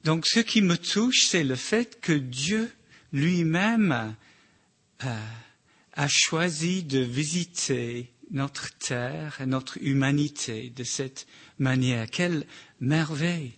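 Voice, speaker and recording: A man with a slight accent giving a halting, informal sermon reflection with many restarts. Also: medium pitch, fairly bright tone. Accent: slight foreign accent in French. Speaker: church member sharing a reflection.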